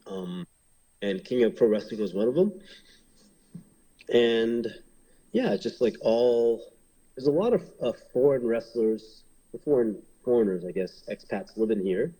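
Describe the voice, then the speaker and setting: Husky, mellow-voiced man chatting loosely. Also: mid-range voice, animated, unhurried, rough recording. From Hong Kong. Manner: rambling, many fillers and restarts. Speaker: pro wrestler interviewee. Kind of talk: casual interview chat.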